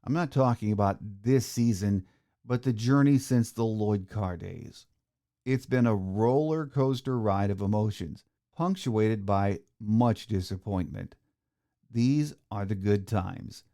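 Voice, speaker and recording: Low, mellow middle-aged male podcast host. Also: takes it slowly, gravelly. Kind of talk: scripted sports monologue.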